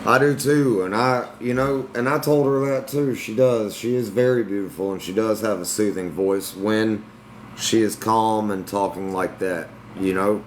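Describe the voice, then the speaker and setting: A man's voice: on the low side, steady tone, normal speed. A young man talking bluntly and defensively to his livestream chat. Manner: blunt, defensive, Southern-tinged slang. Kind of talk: casual livestream talk to chat. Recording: noisy room with air conditioning hum.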